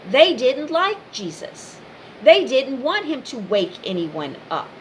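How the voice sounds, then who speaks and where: A woman's voice: high voice, big pitch swings, slow pace, slight background noise, rough recording. A woman giving an impassioned, informal monologue straight to the listener, speaking in emphatic, repetitive short phrases.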